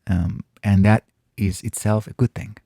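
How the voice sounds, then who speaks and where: A man whose first language is Farsi, hosting a casual Q&A and answering viewer questions in a thoughtful way, often pausing and restarting his phrases. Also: lowish voice, fairly even intonation, dark tone, dry recording, clean studio sound. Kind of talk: casual reflective Q&A monologue.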